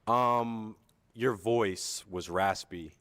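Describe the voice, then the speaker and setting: A man contestant on a dating show, explaining himself in a hesitant, halting way. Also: lowish voice, a bit bright, long reverb tail.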